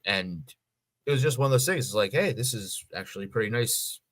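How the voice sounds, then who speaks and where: Middle-aged American man, bright forceful voice. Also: on the low side, expressive, brisk. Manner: rambling, profane. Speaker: podcast co-host. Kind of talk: casual chat.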